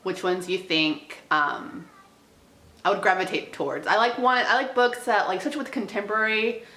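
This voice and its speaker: A woman's voice: medium pitch, normal speed, bright, forceful tone. A woman book vlogger chatting casually to the camera in an informal, slangy, hedging way. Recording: roomy indoor echo.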